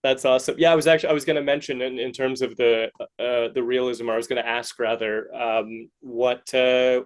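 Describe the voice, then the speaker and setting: A man hosting a casual interview podcast, speaking haltingly and circling back to rephrase his questions. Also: mid-range voice, steady tone. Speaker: podcast host interviewing artists.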